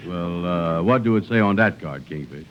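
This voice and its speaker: An actor playing an agreeable, slow-witted business sidekick with folksy grammar in a 1940s radio comedy. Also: male voice, low voice, expressive, slight background noise. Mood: slow, puzzled. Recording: muffled 1940s radio recording.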